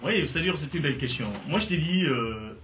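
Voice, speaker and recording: A man's voice: a little high, lively intonation, a little fast, slight background noise. A man with a Congolese French accent giving emphatic interview answers and mixing in Lingala. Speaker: guest. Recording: echoey, distant, dull sound.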